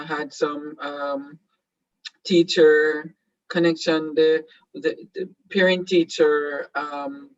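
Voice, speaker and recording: A Jamaican man whose first language is Patois hosts an online seminar in a slightly high voice. He talks hesitantly, full of ums and restarts. The sound is dry and a little muffled. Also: dry recording. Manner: hesitant, many fillers and restarts. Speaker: host.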